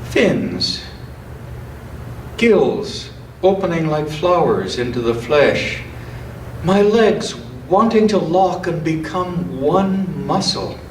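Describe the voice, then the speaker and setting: A man speaks as a reader in church, moving between reading aloud and informal commentary. His delivery is unhurried and reflective, with careful, measured phrasing. The sound is roomy and somewhat distant, with a faint layer of noise. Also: on the high side, animated. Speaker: church scripture and poetry reader.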